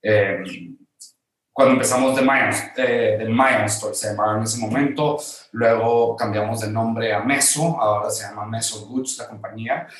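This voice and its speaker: A man's voice: on the low side, steady tone, moderate pace, fairly bright tone. A man from Guatemala with a Guatemalan accent, a guest designer telling his story in a hesitant, conversational way with many fillers. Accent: Guatemalan Spanish. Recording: very roomy echo.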